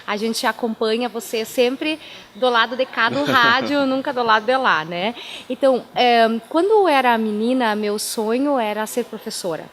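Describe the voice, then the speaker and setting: Native German speaker from southern Brazil; female pastor, interview guest. Slightly high voice, chatty anecdotal delivery. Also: normal speed, a bit bright, slight background noise. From Timbó, southern Brazil. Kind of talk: radio interview, life storytelling.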